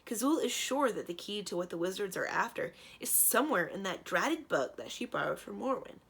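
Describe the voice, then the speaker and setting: A woman voicing a sensible, practical young storybook heroine, brisk and wry, with a matter-of-fact, decisive manner. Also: medium pitch, sing-song, creaky, a bit bright, slightly rough, exaggerated voice. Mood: frustrated.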